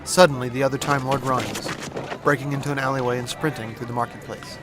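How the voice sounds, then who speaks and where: A man's voice: medium pitch, fairly even intonation, fast talker, over music. A man reading scripted dramatic narration as the narrator of an audio drama.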